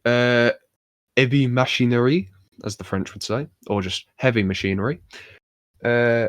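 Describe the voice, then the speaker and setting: A man's voice: mid-range voice, steady tone, unhurried, no room sound, clean studio sound. A man co-hosting a podcast and chatting casually, rambling with many fillers and restarts. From United Kingdom. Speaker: podcast co-host. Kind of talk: casual chat.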